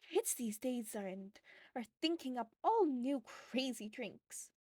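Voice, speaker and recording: A woman's voice: sits high, lively intonation, slow, mellow, no room sound, studio quality, heavily acted. A woman voicing a friendly, polite female bartender in a storybook reading, chatty and businesslike with customers. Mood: guarded, helpful.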